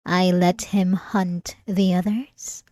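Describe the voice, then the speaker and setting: A woman playing an ancient, obsessive and lonely female vampire in a theatrical ASMR roleplay, with a teasing, seductive, drawn-out delivery. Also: fairly low pitch, level tone, warm tone, dry recording, studio quality. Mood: obsessive, yearning. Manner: dramatic, teasing, drawn-out delivery with breathy asides.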